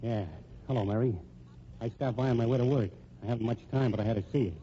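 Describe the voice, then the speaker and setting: A man's voice: mid-range voice, steady tone, quick pace, mellow, natural voice. An actor playing an earnest young working man, a reproachful jilted suitor, in old-time radio drama style. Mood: reproachful, pleading. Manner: earnest, scolding. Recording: muffled old radio recording, faint noise.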